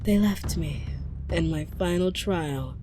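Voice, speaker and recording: A woman playing a coldly patient, menacing interrogator from a warrior clan, speaking deliberately and with mock politeness, slipping into whispers in an ASMR drama. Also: fairly low pitch, noisy background. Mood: softly menacing. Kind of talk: dramatic ASMR roleplay monologue.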